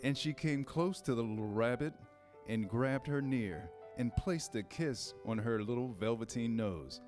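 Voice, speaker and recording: A man reads a storybook aloud as narrator. His pitch is medium and his phrasing is measured and deliberate, with room between phrases. Soft music plays behind him. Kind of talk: scripted storybook narration, reader's theater. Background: background music.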